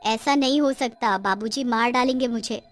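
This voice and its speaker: A woman voicing an eager but timid young village girl in a cartoon story, earnest and expressive. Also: on the high side, moderate pace, faint music behind, natural voice. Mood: anxious, pleading. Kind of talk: Hindi cartoon story acting.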